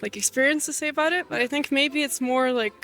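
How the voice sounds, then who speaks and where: A woman's voice: high voice, fast, pushed and bright, faint music behind. A woman answering a street interview in a casual way, with plenty of "like" fillers.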